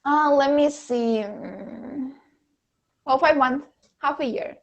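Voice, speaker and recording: A woman's voice: high-pitched, slow pace, vocal fry, lo-fi sound. A young woman from Kazakhstan whose first language is Russian, a live streamer chatting playfully as a guest and giggling often. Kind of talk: casual interview chat.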